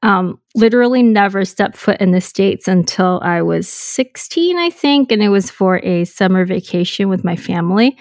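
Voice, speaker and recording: A woman's voice: mid-range voice, animated, normal speed, hardly any pauses, a little mellow, no room sound, clean studio sound. A young adult woman from Hong Kong telling her story as a podcast guest, reflective and chatty, with many ums and you-knows. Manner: reflective, frequent "um" and "you know", light laughs. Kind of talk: casual interview storytelling.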